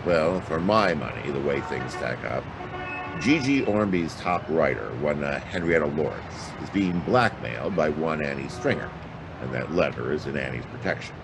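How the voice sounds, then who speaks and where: Sardonic hard-boiled detective , male actor, very low-pitched, animated wisecracker, audio drama with noisy city ambience. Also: normal speed, creaky, exaggerated voice. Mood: sardonic, urgent. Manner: sardonic, clipped slangy wisecracks.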